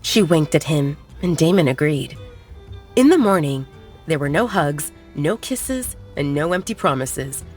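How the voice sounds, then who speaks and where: A woman reads a romance audiobook in a scripted storytelling style. Her voice is lower than most women's, yet it rises and falls expressively. Faint music plays underneath. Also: lively intonation, unhurried, a little mellow. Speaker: narrator.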